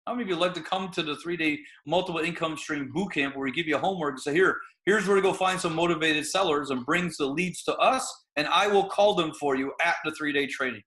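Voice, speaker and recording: A man hosting a webinar, making a pushy motivational sales pitch full of rhetorical questions. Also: fast, hardly any pauses, a bit bright. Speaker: webinar host.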